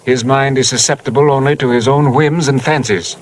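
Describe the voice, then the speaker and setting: An actor playing a formal, earnest doctor in a 1950s radio drama. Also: male voice, mid-range voice, steady tone, a little fast, lightly acted. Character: proper, educated male doctor. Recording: old radio broadcast with hiss.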